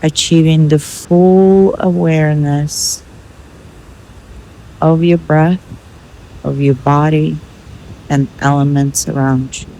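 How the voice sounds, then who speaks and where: Female meditation guide, very unhurried delivery, mid-range pitch, measured instructional phrasing, some background noise. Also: fairly even intonation, mellow, poor audio. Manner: measured, instructional, with pauses between short phrases.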